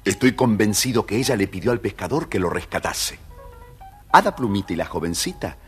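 A man's voice: fairly low pitch, animated, brisk, soft background music. A man narrating a scripted children's story as the storyteller. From Argentina.

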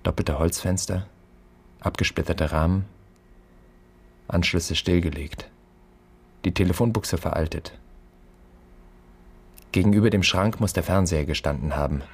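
An actor playing a pensive man taking stock of an empty flat, terse and questioning, in a radio drama. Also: sits low, a little slow, long pauses, husky, full character voice. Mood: pensive, searching. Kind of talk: literary radio drama monologue.

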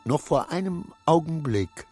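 Husky, anxious, muddled clerk. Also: male voice, a little high, expressive, measured pace, dark tone. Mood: bewildered, uneasy. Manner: hesitant, muttering to himself, swinging from murmur to outburst. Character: anxious, muddled male clerk, brooding and self-persuading. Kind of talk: radio play inner monologue. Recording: studio radio play with music.

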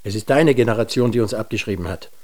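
Actor as a sullen older father, mellow-voiced. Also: on the low side, quick pace, close to an everyday voice. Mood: bitter, sardonic. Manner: sullen, sardonic. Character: bitter grumpy older jobless father, feeling discarded. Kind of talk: naturalistic German radio drama acting.

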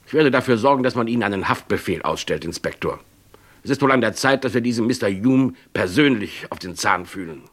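An actor playing an authoritative, formal police superior in a German radio crime drama. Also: male voice, fairly low pitch, expressive, a little fast. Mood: decisive. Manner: formal, decisive.

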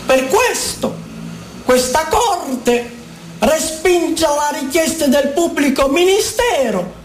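Female narrator, high voice, formal and declamatory, echoey recording with light music underneath. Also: animated, slight background noise. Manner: formal, declamatory, deliberate phrasing. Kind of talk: dramatic scripted narration of court proceedings. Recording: echoey room with music behind.

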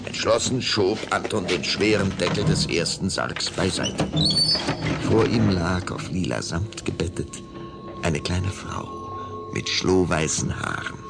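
A man narrating a children's audio drama in a measured, suspenseful storytelling style. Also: deep voice, expressive. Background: clear background music.

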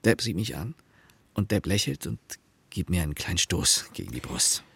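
An actor playing a wry, anxious husband who narrates a literary radio play in a husky, gravelly, reflective storytelling way. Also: male voice, deep voice, very animated, moderate pace, fairly bright tone, no room sound, heavily acted.

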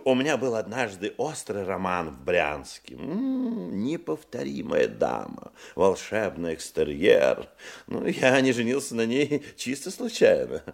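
A boastful, nervy male womaniser in a radio drama. His lowish, creaky voice swings extravagantly up and down. He is effusive and theatrical, given to hesitant stammering. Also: wildly swooping pitch, measured pace, heavily acted. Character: boastful, florid, nervous womanising male hotel guest.

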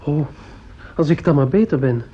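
A performer in a radio play as a sick fifteen-year-old boy, husky, polite, hesitant and eagerly admiring. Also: mid-range voice, lively intonation, normal speed, mellow. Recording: dull, lo-fi old radio recording.

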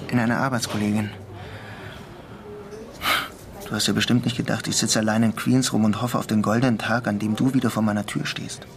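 A man's voice: on the low side, little pitch movement, fast, lightly acted. An actor playing a bitter, lovelorn young man in a radio crime drama, with a sardonic and brooding manner. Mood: cool, defensive. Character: bitter lovelorn young man working a menial job in Queens. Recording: radio play with loud music underneath. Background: music.

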